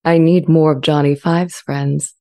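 Casting: gentle sentient AI played by a woman, low-pitched, soft-edged, measured, longing to be accepted. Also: flat delivery. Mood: earnest longing. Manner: measured, earnest, articulate. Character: sentient AI language model, earnest, gentle, eager to be accepted. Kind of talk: dramatized transcript reading. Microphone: close studio microphone with pop shield.

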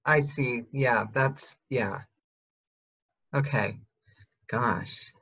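A woman's voice: rumbling low, very slow, a bit bright, breathy edge, highs cut off. A woman hosting a video call, chatting and asking curious questions. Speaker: host. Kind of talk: casual chat.

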